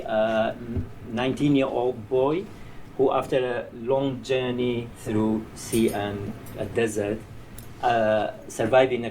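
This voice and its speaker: A male novelist with a Kurdish accent speaks to a live bar gathering. His delivery is very slow, halting and deliberate, and there is faint noise behind him. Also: medium pitch, a little mellow, rough recording. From Iraqi Kurdistan. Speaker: novelist reading own work. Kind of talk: live introduction and literary reading.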